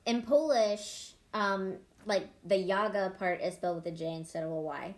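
A woman with a mid-pitched voice co-hosts a podcast. She explains things in loose, conversational language, irreverent and unafraid to swear. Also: normal speed. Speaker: podcast host. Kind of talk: casual conversational storytelling and explaining.

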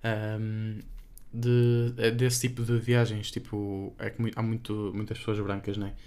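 A man's voice: fairly low pitch, level tone, measured pace, a little mellow. A young man with a European Portuguese accent chatting casually as a podcast co-host, rambling and hesitant, with many fillers.

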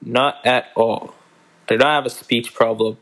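A young man hosting a casual solo podcast, talking in a halting way with many restarts and stumbles. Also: medium pitch, fairly even intonation, fairly bright tone. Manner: halting, restarts and fillers, slow unhurried delivery. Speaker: podcast host.

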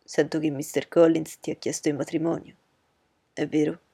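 Brief: woman playing an unflappable ironic dad, lowered husky voice. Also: level tone, dark tone, no echo, heavily acted. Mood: wry. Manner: lowered, measured, wry. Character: calm dry ironic older father.

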